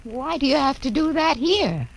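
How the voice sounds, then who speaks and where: A woman's voice: high-pitched, very animated, normal speed, vocal fry, full character voice. An actress playing a doting, fussing old mother in a 1950s radio drama. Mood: motherly.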